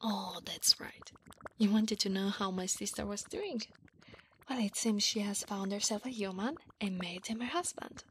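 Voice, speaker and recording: A woman voicing an affectionate, teasing, clingy slime wife in a soft, half-whispered ASMR roleplay. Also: mid-range voice, moderate pace, a little hiss or hum, lightly acted. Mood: clingy, playful. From Italy. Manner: soft, breathy half-whisper, intimate, coaxing.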